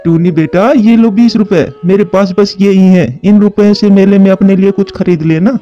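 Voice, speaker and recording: A man voicing a kindly, poor father bird who is soft on his daughter in a cartoon. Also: high voice, fairly even intonation, normal speed, highs cut off, soft background music, natural voice. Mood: gentle, indulgent.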